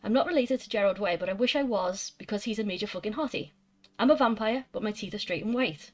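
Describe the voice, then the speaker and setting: A woman's voice: medium pitch, quick pace, natural voice. A woman voicing a self-absorbed seventeen-year-old goth vampire girl, reading fan fiction aloud matter-of-factly, with little change of voice.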